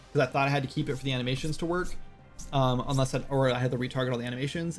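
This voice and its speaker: A man's voice: mid-range voice, gravelly. A man in his late thirties, chatting casually on a game development livestream in a rambling, self-deprecating way full of fillers. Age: 30s. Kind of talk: casual livestream chat while working.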